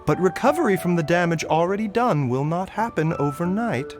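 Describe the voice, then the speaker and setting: Lilting, higher-voiced courteous doctor. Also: male voice, lively intonation. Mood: calm, reassuring. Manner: reassuring, measured, formal. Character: calm, courteous male doctor, reassuring and precise. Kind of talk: video game voice acting. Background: background music.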